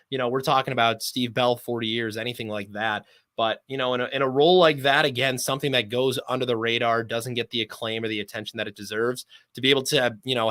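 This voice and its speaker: A male sports broadcaster chatting as a podcast guest. His voice is medium-pitched with a bright, forward edge and a very dry, close sound. He talks at length in an emphatic, appreciative way. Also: no room sound. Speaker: sports play-by-play announcer, interview guest. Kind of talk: conversational sports podcast interview.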